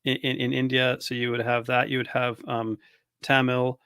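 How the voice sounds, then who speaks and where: A man's voice: medium pitch, little pitch movement, moderate pace, sharp tone, smooth, no echo. Curious male podcast host, close dry sound. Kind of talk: casual interview.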